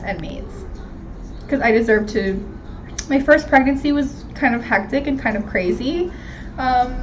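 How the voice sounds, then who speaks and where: A woman of twenty-nine with an American accent, chatting casually and candidly in a slangy, self-mocking way as she hosts a question-and-answer vlog. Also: on the high side. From Miami, Florida. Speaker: video host answering viewer questions. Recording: dull lo-fi sound with background noise.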